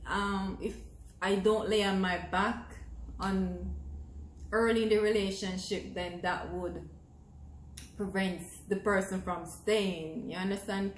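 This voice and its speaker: A woman's voice: mid-range voice, echoey room, a little hiss or hum, low-quality recording. A young Jamaican woman with a Jamaican accent, talking to camera in an earnest, encouraging way that repeats phrases for emphasis. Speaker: vlogger. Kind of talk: casual talk to camera.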